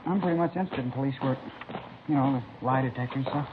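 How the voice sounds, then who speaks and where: Eager, quick-talking schoolboy, acted by a man. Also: mid-range voice, close to an everyday voice. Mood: eager, chatty. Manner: eager, respectful, casual. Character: eager, polite teenage schoolboy, student council leader. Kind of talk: 1950s radio drama acting. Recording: tinny, noisy old radio recording.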